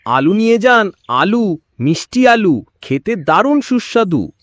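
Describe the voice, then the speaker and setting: A man's voice: sits high, very animated, measured pace, no echo, studio quality. A man playing a poor, hopeful, devout village farmer in a cartoon, exclaiming in an earnest, folksy way. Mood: calling out. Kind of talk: Bengali cartoon voice acting.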